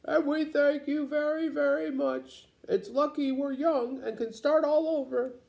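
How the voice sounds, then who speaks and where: A man voicing a high-pitched grateful old grandmother. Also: fairly even intonation, a little slow, creaky, a little mellow, heavily acted, rough recording. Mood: grateful, heartfelt. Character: kindly old grandmother making a grateful speech.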